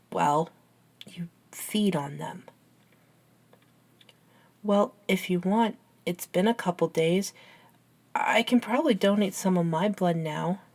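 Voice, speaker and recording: A woman playing a caring, curious, science-minded young best friend in an ASMR roleplay, with an earnest, halting, gently teasing manner. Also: mid-range voice. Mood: sympathetic. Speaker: amateur audio roleplay voice actor.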